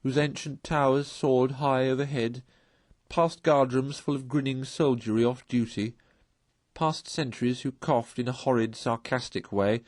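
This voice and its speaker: A man tells a story in expressive read-aloud narration. His voice sits at a medium pitch, and the recording is dry and close, with very little room sound. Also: measured pace, no echo. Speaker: storyteller reading a novel aloud.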